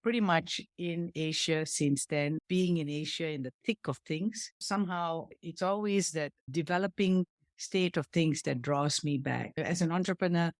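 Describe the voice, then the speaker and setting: A man's voice: fairly high pitch, normal speed, no room sound. A man who grew up in Singapore, hosting a podcast interview with friendly, welcoming questions. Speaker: podcast host. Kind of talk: casual interview chat.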